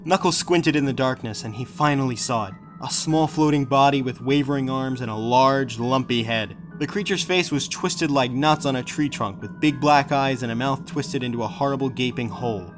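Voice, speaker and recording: A man narrates an adventure audiobook in a mid-pitched voice. His delivery is scripted and dramatic, with clear music running behind him. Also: normal speed, few pauses.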